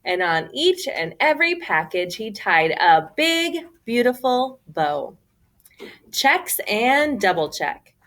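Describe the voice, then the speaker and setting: A woman reading a children's picture book aloud as a storyteller, with an expressive, emphatic, theatrical delivery. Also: fairly high pitch, slow.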